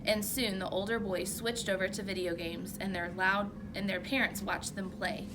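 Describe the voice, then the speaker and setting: A woman reading a children's story aloud like a teacher, with expressive, emphatic narration. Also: mid-range voice, normal speed, background noise.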